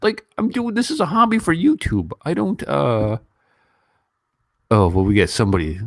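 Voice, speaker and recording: A man's voice: a little high, normal speed, no echo, clean studio sound. A man chatting informally and in a rambling way as the host of a casual online livestream. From Western country. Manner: informal, animated when mimicking others, rambling. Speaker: YouTube host. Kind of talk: casual livestream chat and commentary.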